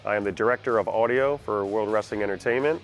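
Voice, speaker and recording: A man's voice: lowish voice, fast. A man giving a testimonial interview as an audio director. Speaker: audio director for live TV productions. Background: background music.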